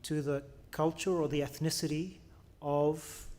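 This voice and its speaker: Male moderator, slightly higher voice, easygoing and polite, lots of ums. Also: steady tone, unhurried, darkish tone. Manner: polite, easygoing, filler-heavy. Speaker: host, moderator. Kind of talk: live panel discussion.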